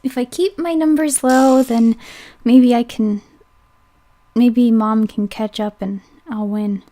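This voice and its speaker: A woman's voice: a little high, fairly even intonation, slow, mellow. A woman reading a visual novel aloud as a let's play host, breaking off into chatty asides and playful self-corrections. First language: English.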